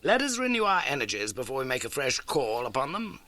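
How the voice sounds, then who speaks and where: A man voicing a brisk, keen, masterful male detective in an audiobook, with emphatic, animated and incisive delivery. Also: medium pitch, no echo, voice pushed up. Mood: incisive, wry. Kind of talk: audiobook character dialogue.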